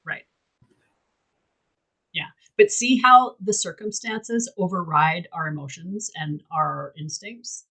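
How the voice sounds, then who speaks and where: A woman's voice: on the low side, slow, bright, forceful tone, husky, low-quality recording. A woman hosting a candid casual chat, talking emphatically and bluntly and swearing freely. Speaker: host.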